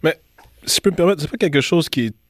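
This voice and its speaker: A man's voice: on the high side, expressive, fast, dry recording, clean studio sound. A man with a Quebec French accent hosting a current affairs radio discussion, telling anecdotes and giving his opinions in a reflective way. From Quebec, Canada. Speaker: radio host. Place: radio studio.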